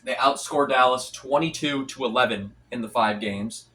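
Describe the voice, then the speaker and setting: A man's voice: mid-range voice, normal speed, pushed and bright, far from the mic, slight background noise. Congested young man recapping hockey stats. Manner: rattles off stats with frequent restarts and fillers. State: congested from allergies. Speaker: hockey commentary channel host. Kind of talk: casual solo sports recap.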